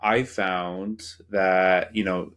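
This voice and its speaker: A man's voice: low-pitched, little pitch movement, extremely slow, fairly bright tone, clean tone. A man hosting a podcast, asking questions in a casual, conversational way. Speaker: podcast host. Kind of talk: podcast interview.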